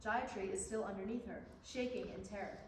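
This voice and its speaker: A woman's voice: mid-range voice, steady tone, fairly quick, close to an everyday voice. A woman reading scripted stage directions as the narrator of a staged play reading. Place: indoor staged reading in an echoey room. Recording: echoey, distant room mic, clear noise.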